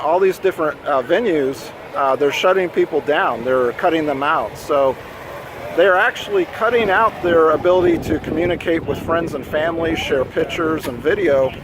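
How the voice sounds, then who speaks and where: A man speaking informally to the camera as a vlogger and amateur radio enthusiast. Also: a little high. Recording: outdoor recording with clear ambient noise.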